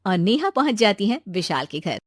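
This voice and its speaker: A woman narrating a cartoon moral story in a steady storytelling manner. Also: mid-range voice, normal speed, dry recording, studio quality.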